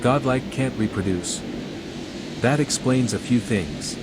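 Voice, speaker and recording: An actor playing a dry-witted, restrained envoy, the hero of a video game, in measured dialogue. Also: male voice, medium pitch, noisy background, lightly acted. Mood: guarded.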